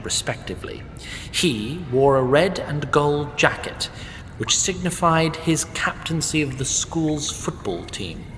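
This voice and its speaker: A man's voice: fairly high pitch, fairly bright tone, big-room sound, slight background noise. Wry, formal male storyteller. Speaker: narrator. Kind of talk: scripted narration.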